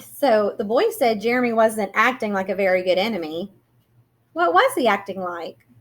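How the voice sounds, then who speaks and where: A woman reading a children's picture book aloud in an expressive, teacherly way, pausing to put questions to young listeners. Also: medium pitch. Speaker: read-aloud storyteller.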